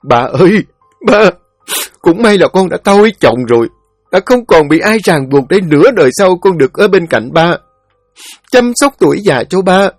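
Sex: man, voicing a woman, a narrator putting her on. Figure: grieving grown daughter in an audio story. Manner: tender, tearful, pleading. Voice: raised high, swinging widely. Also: very animated, normal speed, no echo, clean studio sound, faint music behind.

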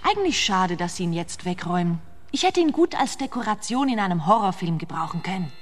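A woman's voice: on the low side, sing-song, brisk. Blasé young woman in echoing hall. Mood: nonchalant. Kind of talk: radio play acting. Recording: long hall-like reverb.

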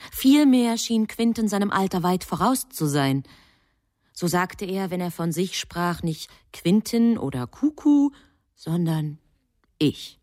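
Radio play narrator: a composed angel, lowish voice, matter-of-fact, close dry studio sound. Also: female voice, a little mellow, no echo. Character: composed angel reporting a human boy's life to a superior. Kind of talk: scripted narration in a radio drama.

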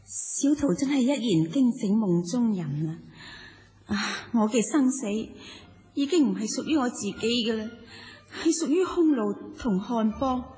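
Husky, sorrowful noblewoman played by an actress. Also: on the high side, animated, mellow, faint music behind, full character voice. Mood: resolved. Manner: sighing, halting, plaintive. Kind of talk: Cantonese radio drama acting.

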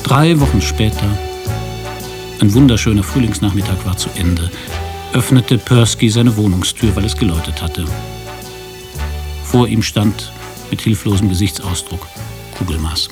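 An actor narrating a comic radio play as a detached storyteller, measured and matter-of-fact. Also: male voice, lowish voice, natural voice. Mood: detached narration. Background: music.

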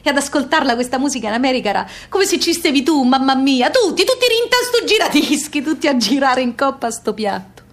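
A tough, nostalgic emigrant mother telling her story in a radio play. Her high female voice carries a Neapolitan-flavoured Southern Italian accent. She speaks in plain, colloquial phrases that circle back on themselves like an intimate incantation. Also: very animated, brisk, few pauses. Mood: wistful, regretful. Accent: Neapolitan-influenced Southern Italian.

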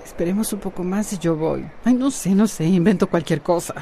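An actress playing a proud, bold, exasperated friend in a radio soap opera, emphatic and dramatic. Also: female voice, on the low side, brisk, warm tone, a little hiss or hum, lightly acted. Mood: anxious.

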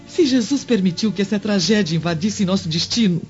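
An actress playing a reproachful, pious Roman wife, solemn and emphatic, in a radio drama. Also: female voice, mid-range voice, a little fast, darkish tone, natural voice. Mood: stern, pleading. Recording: dull lo-fi radio drama, music underneath.

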